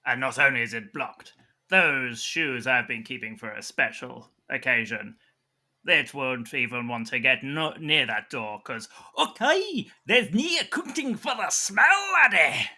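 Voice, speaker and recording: A bossy, pompous doctor from a comedy audio play, put on by a performer. The voice is husky and very sharp, swinging widely in pitch with melodramatic emphasis. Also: very animated, unhurried, exaggerated voice. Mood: boastful. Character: excitable, bossy, pompous hospital doctor in a farce. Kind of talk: improvised comedy audio drama.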